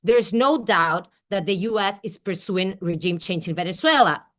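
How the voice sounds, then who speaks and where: A woman's voice: mid-range voice, lively intonation, a bit bright. Earnest woman from Peru giving a speech. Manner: earnest, deliberate, with small restarts. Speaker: rally speaker. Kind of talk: prepared political speech. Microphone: remote video call.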